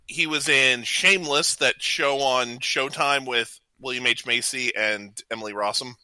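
A man's voice: medium pitch, unhurried, pushed and bright, smooth, no room sound, rough recording. A man hosting a trivia game on a casual talk show, speaking informally and hesitating a little when he ad-libs. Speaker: podcast host running a trivia game.

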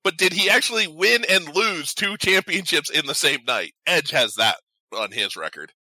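Male radio host, casual trivia delivery. Very bright, punchy, slightly high voice, dry sound. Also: animated, moderate pace, dry recording. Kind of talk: casual chat hosting an entertainment quiz.

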